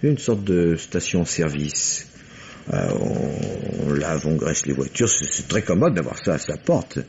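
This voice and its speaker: An actor playing a nervously polite, evasive husband under suspicion in a radio mystery, stammering and hesitant. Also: male voice, lowish voice. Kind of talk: old French radio mystery play acting. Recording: muffled, noisy old radio drama recording.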